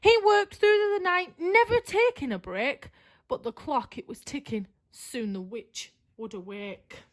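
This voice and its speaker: Female children's storyteller: high, unhurried, expressive rhyming narration with dramatic flair.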